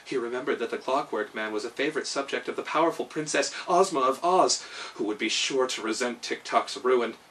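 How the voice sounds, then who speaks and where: A man's voice: medium pitch, a little fast, fairly bright tone, far from the mic. A man in his thirties reading a children's story aloud in a dramatic, emphatic manner. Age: 30s. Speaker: storyteller and host. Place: small room indoors at home.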